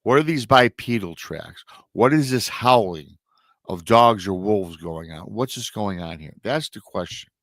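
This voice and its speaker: A man's voice: lowish voice, animated, measured pace, a bit bright, no echo, clean studio sound. A middle-aged man hosting a live show, retelling a strange encounter story in a chatty way, sounding nasal and a little scratchy from a lingering illness. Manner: chatty asides to viewers. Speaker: paranormal show host.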